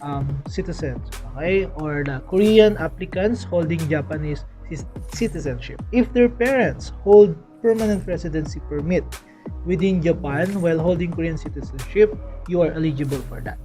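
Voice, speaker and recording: A young man from the Philippines with a fairly high, mellow voice talks through a vlog in a loose, chatty way, sprinkling in fillers and "okay"s. Faint music plays under his voice as he speaks close to the camera in a small indoor room. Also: moderate pace. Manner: chatty, repeats "okay" often, frequent fillers and restarts. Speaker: vlogger explaining a scholarship. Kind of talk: casual explanatory vlog.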